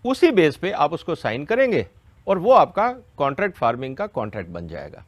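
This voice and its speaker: A man's voice: fairly high pitch, big pitch swings, a little fast, no echo. A man giving an educational question-and-answer explanation, didactic and step by step, often checking that listeners follow. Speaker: expert explaining a law to farmers.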